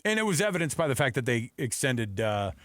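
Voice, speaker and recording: Sports radio host: American man, animated and casual, very varied intonation, dry studio mic. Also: medium pitch, a little fast, no room sound. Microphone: close studio broadcast microphone.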